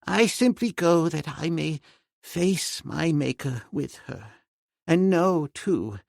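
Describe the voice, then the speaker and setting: A man voicing an elderly professor who speaks slowly and gravely, resigned in his grief. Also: a little high, lively intonation, no room sound, studio quality, pitched above the performer's own voice, full character voice. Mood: grave resolve. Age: older. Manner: slow, grave, resigned speech. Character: grief-stricken elderly male professor, solemn.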